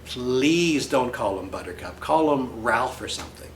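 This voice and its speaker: A man's voice: medium pitch, expressive, unhurried, slight background noise. Brash man reading a storybook, echoey room. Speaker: teacher reading a picture book aloud. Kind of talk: storybook read-aloud in character as a brash boy. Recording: roomy echo with faint music.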